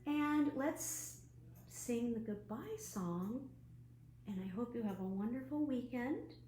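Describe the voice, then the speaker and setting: A woman with a highish voice leads storytime for children at an unhurried pace. She is animated and keeps asking her young viewers questions. The room she speaks in is noticeably echoey. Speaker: children's librarian hosting an online storytime. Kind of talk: children's storytime read-aloud and craft demonstration. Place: at home, indoors. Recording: roomy echo, faint background noise.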